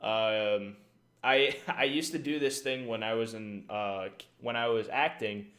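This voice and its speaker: A man's voice: fairly low pitch, steady tone, a little slow, a bit bright. Rambling man giving casting tips. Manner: rambling, frequent fillers and "right?". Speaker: esports caster giving tips. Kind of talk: casual livestream talk.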